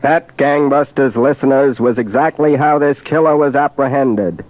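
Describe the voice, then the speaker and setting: Male crime-case narrator, 1940s radio: medium pitch, scripted delivery, muffled sound. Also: moderate pace. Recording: dull old radio recording.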